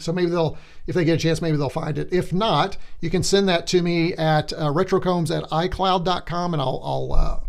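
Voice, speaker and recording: Gravelly guy doing a livestream, kinda high voice, rambly, a bit hoarse. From born in Germany, military family. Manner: chatty, rambling, many restarts and fillers. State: voice going hoarse. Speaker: livestream host. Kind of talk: casual livestream chat reading viewer comments.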